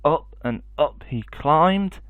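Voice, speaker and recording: A man's voice: fairly high pitch, animated, slow, no room sound, phone-quality sound. A man reading a children's story expressively as a storyteller.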